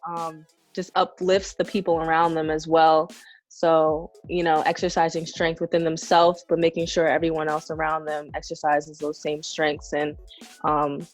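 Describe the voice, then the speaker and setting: A woman's voice: deep voice, little pitch movement, normal speed, soft background music. A woman in her twenties from South Jersey co-hosting a casual podcast in a laid-back, joking manner. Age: 20s. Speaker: podcast co-host. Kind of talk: casual group podcast chat.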